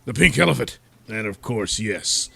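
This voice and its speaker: Man putting on a deep, gravelly, grandiose superhero. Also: lively intonation, moderate pace, a bit bright, full character voice. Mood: gloating. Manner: grandiose, theatrical. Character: pompous, delusional costumed male superhero vigilante. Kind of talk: comedic superhero audio drama.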